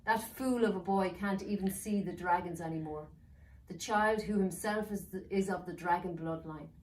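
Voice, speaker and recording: A woman playing a bullying dark villain in a storybook, contemptuous and commanding toward a servant. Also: lowish voice, moderate pace, natural voice. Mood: scornful, angry. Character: scornful, bullying dark villain, master of an elf. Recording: roomy, distant mic with faint noise.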